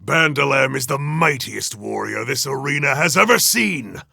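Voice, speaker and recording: An actor playing a pompous, scheming rich arena master who announces in a grandiose, drawn-out way in a video game. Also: male voice, medium pitch, lively intonation, creaky, pushed and bright, slight background noise, full character voice. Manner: theatrical, grandiose, drawn-out delivery.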